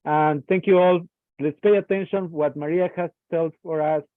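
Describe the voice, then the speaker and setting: A man from Ecuador, Spanish his first language, hosts an online training session in accented English, pausing to find his words. His voice sits a little high and comes through a dry, narrow line that sounds like a phone. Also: steady tone, no echo. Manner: halting, searching for words. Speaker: session moderator. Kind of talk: introducing a speaker in an online training. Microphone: video call.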